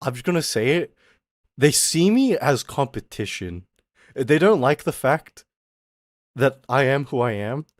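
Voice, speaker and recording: A young man streaming as a VTuber, ranting in a casual, animated way. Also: medium pitch, no echo, clean studio sound. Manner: animated, exaggerated, quick mock voices and laughs.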